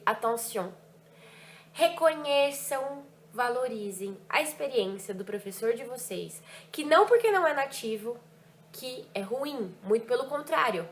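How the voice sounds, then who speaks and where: Young woman, French teacher vlogging, fairly high voice, expressive and emphatic, echoey room, faint hiss. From Brazil, Ribeirão Preto. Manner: lively, emphatic, drops French words into Portuguese. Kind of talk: personal reflection vlog.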